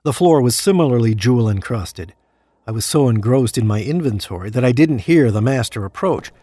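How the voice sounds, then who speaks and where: An ambitious damned man played by a male actor, mid-pitched with a husky edge. He is self-important, formal and deliberate, in the manner of audio drama. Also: animated, warm tone, no room sound, close to an everyday voice. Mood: measured storytelling. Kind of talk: audio drama acting and first-person narration.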